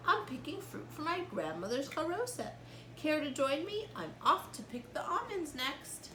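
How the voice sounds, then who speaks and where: A woman's voice: high voice, big pitch swings, moderate pace, sharp tone, distant mic, voice pushed up, exaggerated voice. A woman voicing a friendly, generous male fruit picker in a children's storybook, with an easygoing, inviting manner. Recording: roomy echo, background noise.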